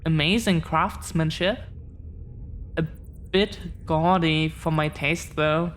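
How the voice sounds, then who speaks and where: Woman doing a sweet earnest dragon girl girlfriend, audio roleplay, talks really slowly and haltingly, some background noise. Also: low-pitched, steady tone. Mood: wry, reminiscing. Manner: hesitant, halting, tender, confessional. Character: affectionate, earnest dragon-blooded girlfriend confessing her secrets. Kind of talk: intimate scripted audio roleplay, addressed to the listener.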